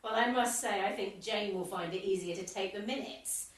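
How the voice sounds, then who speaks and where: A woman's voice: medium pitch, normal speed, lightly acted. A woman acting a wry, cheeky English village committee member in an amateur radio comedy, dry and breezy. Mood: droll. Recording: echoey room, distant microphone.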